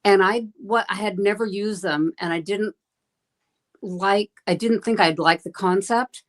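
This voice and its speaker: A woman's voice: medium pitch, measured pace. A woman who sings and drums, talking in a casual roundtable chat in an emphatic, self-described pushy way. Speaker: singer and drummer. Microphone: video call.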